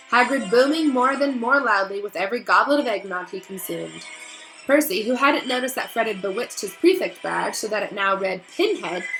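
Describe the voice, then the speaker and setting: Female schoolteacher for children's read-aloud. Encouraging and emphatic, bright forward tone, slightly high, faint music underneath. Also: poor audio. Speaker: elementary school teacher reading aloud to students.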